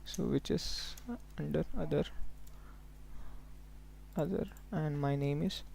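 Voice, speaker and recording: A man working as a software trainer, giving a step-by-step live tutorial in an instructional way, often prompting listeners to follow along. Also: a little high, steady tone, takes it slowly, vocal fry, a little hiss or hum. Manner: instructional, often checking in with okay and look here.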